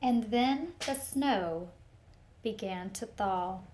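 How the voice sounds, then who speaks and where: A woman reading a picture book aloud to young children, expressive and speaking to them directly with teacher-like questions and asides. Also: mid-range voice, extremely slow, slight background noise. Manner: expressive storytelling, talks directly to young listeners.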